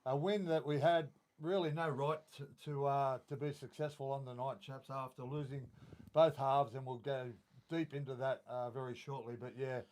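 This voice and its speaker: An Australian man co-hosting a live sports podcast, with a croaky voice, talking passionately with lots of fillers. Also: mid-range voice, steady tone, normal speed, a little mellow. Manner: passionate, rambling with many fillers. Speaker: podcast co-host. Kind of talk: casual sports chat.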